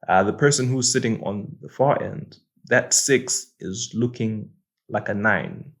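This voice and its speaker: A man's voice: lowish voice, measured pace, vocal fry, fairly bright tone. A young man from Malawi hosting his own channel, talking through life lessons in an earnest, reflective way and often repeating words as he thinks. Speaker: host. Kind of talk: casual reflective monologue.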